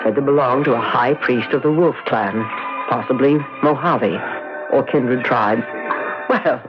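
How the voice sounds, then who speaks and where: A woman's voice: very deep voice, a little slow. An actress playing a bossy, snobbish rich wife who scolds her husband imperiously, in 1970s radio drama style. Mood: scornful. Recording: muffled vintage radio recording, music behind.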